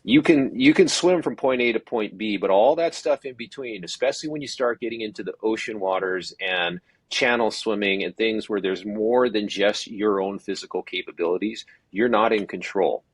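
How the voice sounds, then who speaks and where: A man with a lowish, husky voice talks through his experience in a relaxed, unhurried way. His thoughts wander and he restarts sentences as he goes. The sound is dry and close, with no room around it. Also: no room sound. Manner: thoughtful, rambling with frequent restarts. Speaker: podcast guest, open water swim organizer. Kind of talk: casual interview chat.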